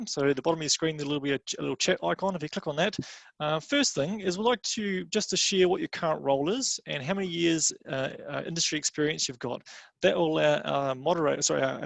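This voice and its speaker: Man, webinar host, gravelly, fairly high voice, dry close sound, friendly instructions. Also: no echo. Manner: friendly, practical instructions with fillers. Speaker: webinar moderator. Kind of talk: hosting an online panel.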